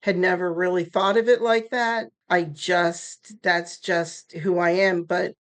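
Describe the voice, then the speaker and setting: Woman, 60s, German as first language, lowish register, candid storyteller, interview guest. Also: a little slow. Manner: candid, lively storyteller with a ready laugh. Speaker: guest, nonprofit founder. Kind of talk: podcast interview.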